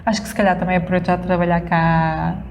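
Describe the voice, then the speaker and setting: A woman's voice: fairly low pitch, level tone, fast talker, vocal fry. A woman in her thirties from northern Portugal with a northern Portuguese accent, chatting casually and laughing often as a guest. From Valongo, northern Portugal. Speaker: guest dentist. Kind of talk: casual conversation. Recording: long reverb, faint noise.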